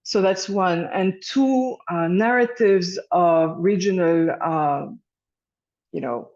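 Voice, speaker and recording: A woman's voice: lowish voice, slow pace, a little mellow, highs cut off. A woman giving an informal guest lecture and leading discussion, talking conversationally with fillers and restarts.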